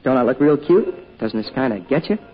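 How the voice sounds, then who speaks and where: Actor as boyish, fast-talking young American man. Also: mid-range voice, lively intonation, close to an everyday voice. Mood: eager, teasing. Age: young adult. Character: eager, boyish, earnest young American son. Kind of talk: old-time radio drama acting. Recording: dull, hissy old radio recording.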